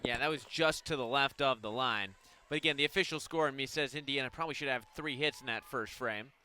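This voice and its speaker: A man giving conversational, explanatory color commentary on a live softball radio broadcast. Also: mid-range voice, brisk, a little hiss or hum.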